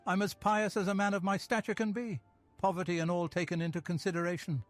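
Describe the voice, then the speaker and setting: A man's voice: high voice, flat delivery, fast talker, soft background music, lightly acted. A man playing a poor, pious commoner in a fantasy game, earnest and colloquial. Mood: musing. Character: pious, poor male commoner, earthy and plainspoken.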